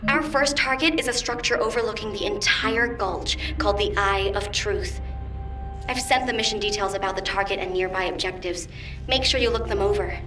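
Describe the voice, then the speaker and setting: Woman as a bright, echoey mission briefer. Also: medium pitch, normal speed, lightly acted. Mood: businesslike. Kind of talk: scripted mission briefing in a video game. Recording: echoey, slightly distant, faint music.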